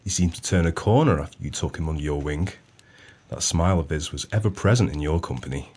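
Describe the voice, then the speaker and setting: Deep-voiced vengeful pub landlord. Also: male voice, darkish tone, breathy edge. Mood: falsely genial. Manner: measured, mock-polite, pointed. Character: vengeful pub landlord, male, sly and coldly menacing. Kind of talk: audio play acting.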